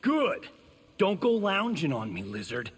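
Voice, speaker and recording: A man playing a cocky, wisecracking film-star fighter in a video game, husky and full of slang. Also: high-pitched, huge pitch swings, a little slow, in a large hall, heavily acted. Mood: cocky, teasing. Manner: slangy, joking. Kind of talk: video game character dialogue.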